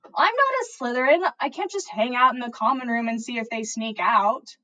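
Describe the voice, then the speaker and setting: An earnest teenage witch from a storybook, played by a woman. Her voice is high and plaintive, and she speaks with theatrical drama. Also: moderate pace, pushed and bright. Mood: whiny, exasperated.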